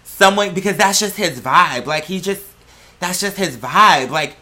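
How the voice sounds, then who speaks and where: Bright-voiced sassy man chatting. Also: high-pitched, expressive, measured pace. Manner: sassy, dramatic, slangy and profane. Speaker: host. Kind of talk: casual chat, personal storytelling.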